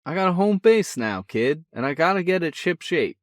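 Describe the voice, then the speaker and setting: A man reading a story, voicing a boisterous, excitable older brother who talks loud and folksy. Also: on the high side, normal speed, no echo, studio quality, voice pushed up. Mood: excited. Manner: loud, folksy, keeps calling his brother kid. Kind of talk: audiobook character voice.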